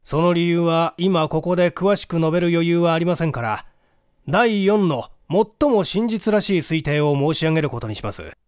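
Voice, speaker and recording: A man's voice: on the high side, normal speed, clean tone, dry recording, studio quality, natural voice. A man voicing a methodical police detective who explains deductions formally in a dramatic audiobook reading. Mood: explanatory, measured.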